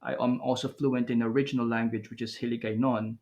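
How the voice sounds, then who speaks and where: A man's voice: mid-range voice, little pitch movement, fast talker, darkish tone. Thoughtful Filipino man, Aklanon speaker. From Philippines. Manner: thoughtful, many fillers and restarts. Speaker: guest researcher. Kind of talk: academic podcast interview.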